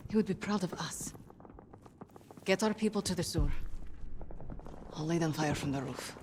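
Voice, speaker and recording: Woman playing a defiant Russian sniper, low-voiced. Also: moderate pace, a little mellow, a little hiss or hum, natural voice. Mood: determined. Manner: cool, scornful. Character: defiant, deadly young female Soviet sniper. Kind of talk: cinematic video game acting. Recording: dramatic music behind.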